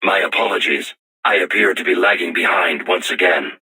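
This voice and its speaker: A young but learned robot weapon for a video game. The voice is very deep, piercingly sharp and heavily distorted by machine processing. It speaks in formal, measured, stilted phrases with a solemn temper. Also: male voice, breathy edge, full character voice. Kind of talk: scripted video game voice acting.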